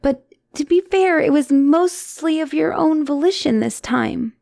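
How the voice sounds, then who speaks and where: A young woman playing an obsessive, clingy girlfriend on the run from an asylum, in an intimate one-sided audio roleplay with sighs and soft, teasing turns. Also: high-pitched, animated, a little slow, dry recording, studio quality, heavily acted. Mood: earnest, conceding. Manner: breathy sighs, teasing, drops into soft whispers.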